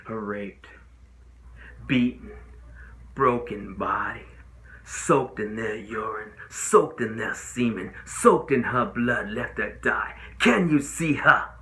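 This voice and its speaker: A man acting a young trial lawyer delivering an earnest, pleading closing argument as a dramatic monologue, with deliberate, emphatic phrasing and pauses. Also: mid-range voice, sing-song, pushed and bright. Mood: grave, anguished. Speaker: amateur actor.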